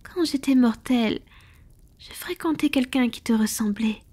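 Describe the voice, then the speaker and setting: Breathy whispering vampire lover, played by a woman. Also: fairly high pitch, darkish tone, lightly acted. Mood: wistful, confiding. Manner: slow, intimate, affectionate murmuring. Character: centuries-old female vampire, tender lover, proud but vulnerable. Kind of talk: French-language ASMR romantic roleplay.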